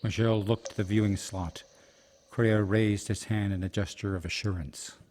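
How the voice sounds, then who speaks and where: A man's voice: lowish voice, steady tone, normal speed, darkish tone, a little hiss or hum. A man narrating a scripted fantasy radio play in a dramatic storytelling style. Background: music.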